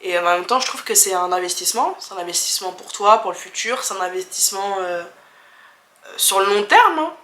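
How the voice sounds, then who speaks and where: A woman's voice: mid-range voice, lively intonation, brisk, a bit bright, reverberant. A young woman from France in her twenties, answering viewer questions in a candid, chatty way with many fillers, restarts and easy laughs. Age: 20s. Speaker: travel vlogger.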